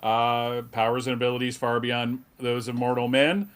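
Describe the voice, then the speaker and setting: A middle-aged man gives casual commentary on a fan panel. His delivery halts and rambles, with a wry edge, at an ordinary male pitch. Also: fairly even intonation, normal speed, a bit bright, clean tone.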